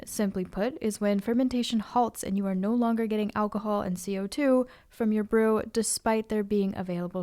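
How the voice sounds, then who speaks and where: A woman's voice: mid-range voice, a little mellow, smooth. A woman hosting a podcast, moving between friendly interview questions and read explanations. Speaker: podcast host. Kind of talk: casual interview and scripted narration.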